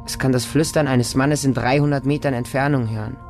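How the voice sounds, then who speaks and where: A man's voice: medium pitch, fairly even intonation, a little fast, a little mellow, faint music behind, lightly acted. An actor playing a distrustful feral orphan boy raised by dogs, narrating a radio drama in short, insistent, repetitive childlike sentences. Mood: brooding, wondering. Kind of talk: radio drama monologue, first-person narration.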